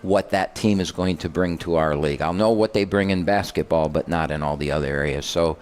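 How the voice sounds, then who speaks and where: Older man, rambling coach, low voice. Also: fairly quick, darkish tone. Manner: rambling, self-correcting storyteller. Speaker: college basketball head coach. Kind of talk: casual radio chat.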